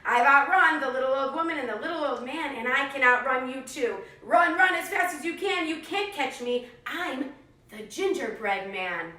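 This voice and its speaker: A woman's voice: high voice, lively intonation, moderate pace, bright, forceful tone. A woman voicing a cheeky, boastful little gingerbread man with sing-song taunts in a children's storybook read-aloud. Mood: boastful, taunting. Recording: echoey room, distant microphone.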